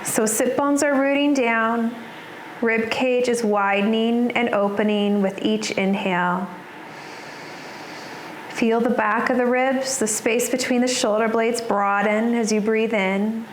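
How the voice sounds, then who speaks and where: A woman yoga instructor guiding a class in a soothing, unhurried manner, leaving pauses between her cues. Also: on the high side, steady tone. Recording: roomy echo with background noise.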